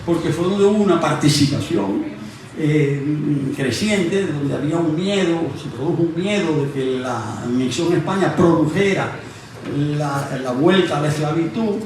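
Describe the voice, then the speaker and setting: Man, reflective, halting explainer with repeated phrases; distant mic, heavy room echo. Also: on the high side, a little mellow. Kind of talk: explaining history in conversation. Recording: very echoey room, distant mic, faint noise.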